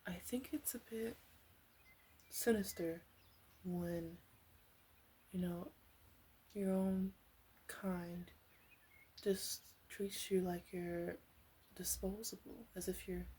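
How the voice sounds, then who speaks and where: A woman playing a caring, teasing witch in a soft ASMR roleplay voice that slips into whispers. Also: medium pitch, a little hiss or hum, close to an everyday voice. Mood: tender, consoling. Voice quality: soft, breathy, slipping into whisper. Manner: gentle, unhurried, playfully teasing. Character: female witch, flirty, caring, teasing potion seller.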